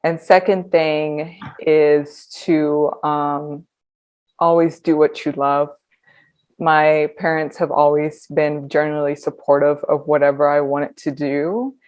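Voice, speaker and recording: A woman in her twenties, a podcast guest talking reflectively, pausing often with ums. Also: low-pitched, level tone, a little mellow. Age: 20s. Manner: reflective, hesitant with frequent ums. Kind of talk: conversational interview.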